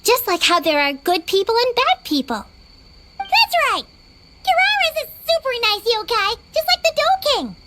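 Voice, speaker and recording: A woman's voice: very high voice, very animated, normal speed, heavy background noise. A woman voicing a sweet, eager, innocent little girl in a video game, with a chirpy, childlike delivery. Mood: cheerful.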